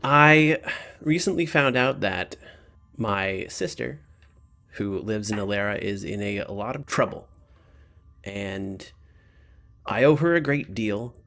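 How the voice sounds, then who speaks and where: A man's voice: lowish voice, animated, takes it slowly, long pauses, bright, forceful tone, heavily acted. A man voicing an earnest, worried wife who pleads for help for her sister. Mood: earnest, pleading.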